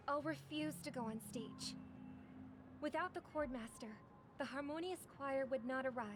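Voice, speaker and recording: An actress playing a gentle, caring, earnest young woman in a video game, speaking politely. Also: high-pitched, natural voice. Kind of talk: dramatic video game voice acting.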